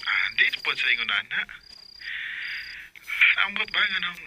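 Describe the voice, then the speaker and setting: A man's voice: fairly high pitch, sing-song, moderate pace, bright, forceful tone, heavily acted. A man playing a playful young man calling from his boarding house over the phone in a radio drama. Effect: phone call. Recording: noisy phone line from far off.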